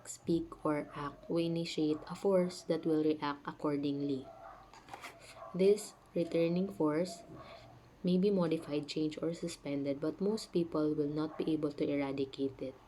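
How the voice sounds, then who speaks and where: Low-voiced woman, sick and mellow. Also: a little hiss or hum, poor audio. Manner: chatty, with fillers and asides. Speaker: channel host. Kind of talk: casual spiritual talk to camera. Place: home, dogs nearby.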